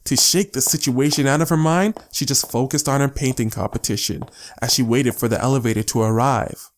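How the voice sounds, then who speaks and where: A man's voice: medium pitch, a little fast. A man reading scripted story narration as the narrator of an audiobook.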